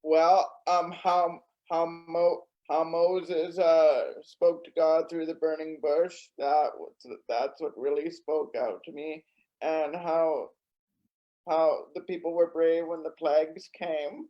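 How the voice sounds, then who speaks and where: A man on a video call giving casual answers in a halting, earnest way, repeating words as he goes. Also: a little high, flat delivery. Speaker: online group participant. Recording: dull video-call audio.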